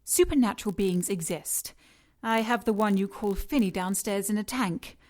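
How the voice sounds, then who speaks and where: An actress playing a cold, seductive scientist in an audio drama, speaking with clinical precision and teasing condescension. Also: female voice, mid-range voice, moderate pace, darkish tone, lightly acted. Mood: dismissive, lecturing. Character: cold, mocking, seductive female scientist in charge of experiments. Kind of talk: audio drama acting, sci-fi noir.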